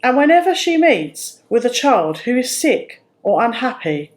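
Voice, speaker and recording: Slow, very expressive woman storyteller. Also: on the high side. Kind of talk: expressive storybook reading for children.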